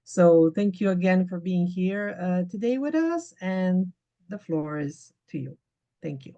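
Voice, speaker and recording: A woman moderating a webinar over a video call, polite and halting with many fillers. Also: on the low side, animated, mellow, muffled recording.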